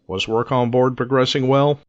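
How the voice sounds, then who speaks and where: A man narrating a scripted space adventure in a measured, dryly wry manner. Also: mid-range voice, steady tone, no echo, natural voice. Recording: dull, close dry track under music. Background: music.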